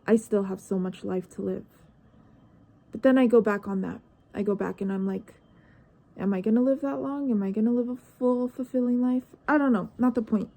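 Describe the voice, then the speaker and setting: A woman's voice: on the high side, steady tone, moderate pace, vocal fry, warm tone. A nineteen-year-old woman talking to herself in a personal vlog, searching and hesitant, her thoughts trailing off into questions. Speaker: vlogger. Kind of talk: reflective personal monologue.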